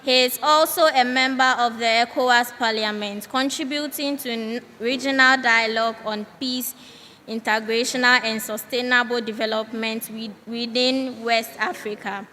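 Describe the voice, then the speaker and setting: A woman's voice: fairly high pitch, steady tone, moderate pace, bright, forceful tone. A woman, reading a guest's profile aloud as a clerk in a formal, careful way. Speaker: deputy clerk. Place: large hall at a youth parliament. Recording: reverberant hall with faint background noise.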